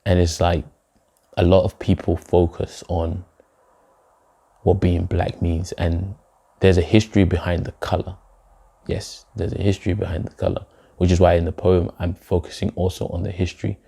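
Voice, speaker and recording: A young man from Denmark, a poet, giving reflective, measured interview answers. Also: low voice, level tone, vocal fry, warm tone, husky. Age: young adult. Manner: reflective, measured, with filler phrases. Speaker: poet and guest. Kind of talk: spoken word poem, then interview answers.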